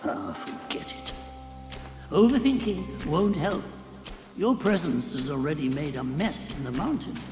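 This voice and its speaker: A man's voice: fairly high pitch, very animated, measured pace, over music. A man voicing a brooding, wry mountain spirit in a game, with a musing, dramatic delivery. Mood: uneasy, wry. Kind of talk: game cutscene voice acting. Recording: echoey, long hall reverb.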